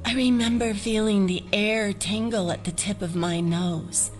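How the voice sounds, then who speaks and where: An actress playing a fragile, haunted abductee in an audio drama, telling her account in a halting, earnest, dreamy way. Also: mid-range voice, steady tone, soft background music, close to an everyday voice. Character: fragile, haunted woman recounting an alien abduction.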